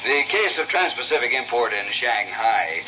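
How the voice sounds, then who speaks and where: An actor playing an aloof, politely dismissive official in a 1950s radio drama, with a measured, hesitant and condescending manner. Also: male voice, medium pitch, very animated, sharp tone. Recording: noisy old 1950s radio recording.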